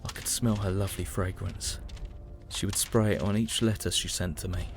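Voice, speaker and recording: An actor playing a weary young British soldier of the First World War in a sombre, reflective audio drama monologue. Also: male voice, deep voice, steady tone, normal speed. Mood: quiet, wistful. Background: prominent music.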